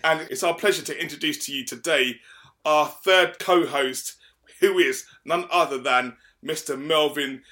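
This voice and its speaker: A man's voice: fairly high pitch, measured pace, sharp tone. A man co-hosting a podcast with playful, boisterous slang. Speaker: podcast co-host. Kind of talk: casual banter.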